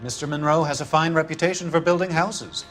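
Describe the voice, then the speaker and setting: An actor playing a smug, middle-aged, corrupt insurance vice president in a video game, haughty, wordy and condescending. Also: male voice, fairly high pitch, fairly quick, fairly bright tone, soft background music, natural voice. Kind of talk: dramatic video game acting.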